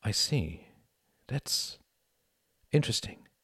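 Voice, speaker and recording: A charming, sensitive young man from a storybook, voiced by a male narrator. He is easily moved and speaks hesitantly, with expressive, shifting pitch. The sound is dry and close. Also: slow pace, breathy edge, dry recording, full character voice. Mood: guarded. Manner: hesitant, emotional.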